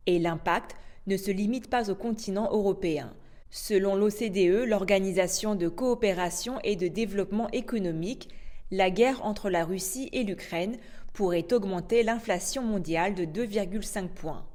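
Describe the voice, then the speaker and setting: A woman anchoring a news bulletin, reading in a steady, formal way. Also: mid-range voice, normal speed. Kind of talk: scripted news narration.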